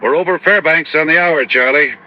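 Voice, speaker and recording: Higher-voiced, halting radio-drama pilot played by a man. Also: natural voice. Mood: hearty. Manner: folksy, halting, gasping. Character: hearty male cargo pilot crippled with pain. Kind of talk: 1950s radio drama acting. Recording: dull old radio recording, faint noise.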